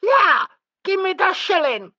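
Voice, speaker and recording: A man plays a sulky little village girl in a storybook reading. She whines and yells in a very high, piercing voice. Her words come slow and drawn out. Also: lively intonation, no echo, heavily acted. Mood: whiny. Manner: whiny, derisive, yelling.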